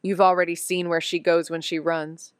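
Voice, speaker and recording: An actress playing an excitable female amateur sleuth in an audio drama, talking in an eager, slangy way. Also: fairly low pitch, normal speed, smooth, dry recording, clean studio sound, lightly acted. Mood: puzzling it out.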